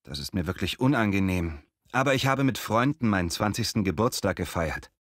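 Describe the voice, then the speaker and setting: Man acting a young guy neighbour, twenty, lowish voice, polite and sorry, lesson skit. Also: animated, brisk, a bit bright, dry recording, clean studio sound. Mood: apologetic. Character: apologetic twenty-year-old male party-throwing neighbour. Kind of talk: acted language-lesson dialogue.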